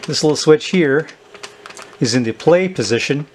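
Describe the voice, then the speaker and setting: A man giving an unscripted, halting demonstration of equipment, thinking aloud as he handles it. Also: a little high, lively intonation, darkish tone, slight background noise. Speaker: host demonstrating a vintage tape recorder. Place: indoors at a tabletop.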